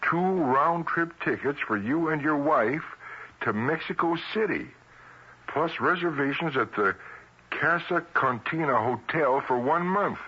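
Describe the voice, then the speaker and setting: A good-natured, plain-spoken small-town mailman in a vintage radio play. His husky voice has a forward, bright edge and a homespun manner. The old broadcast recording is slightly dull. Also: mid-range voice, heavily acted. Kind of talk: 1970s radio drama acting.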